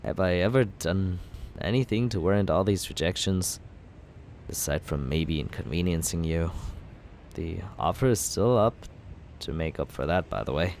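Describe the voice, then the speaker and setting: A man in an ASMR roleplay as a villain, talking smoothly and persuasively in a teasing, flirtatious way. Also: deep voice, animated, moderate pace, darkish tone, a little hiss or hum.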